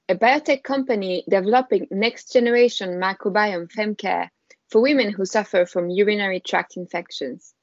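A woman co-host of a podcast reads the intro and asks questions in a mid-pitched voice. The sound is dry and a bit muffled, lo-fi. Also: dry recording. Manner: friendly, thanks listeners. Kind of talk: scripted intro and interview questions.

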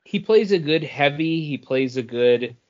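A man's voice: a little high, expressive, measured pace, muffled recording. A man co-hosting a podcast, chatting casually about geek culture and often searching for his words. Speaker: podcast co-host.

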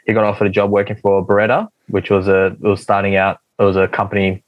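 A man's voice: on the low side, flat delivery, fast talker, no room sound, lo-fi sound. A young man, a guest chatting casually, rambling with many fillers. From Australia. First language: Russian. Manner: rambling, filler-heavy, easygoing. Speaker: guest athlete. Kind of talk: casual podcast chat.

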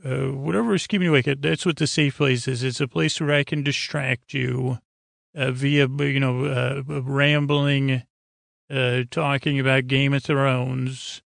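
A man with a gravelly, creaky voice, recorded dry and close. He hosts a bedtime podcast, wandering through a halting monologue and often tripping over his words. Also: medium pitch, little pitch movement, a bit bright, no room sound. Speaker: podcast host.

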